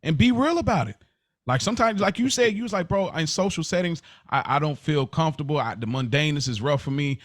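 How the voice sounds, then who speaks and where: An American man hosting a casual podcast discussion, telling stories in an animated way, acting out quotes and repeating phrases for emphasis. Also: on the high side, fast talker, no echo, studio quality. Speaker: podcast host.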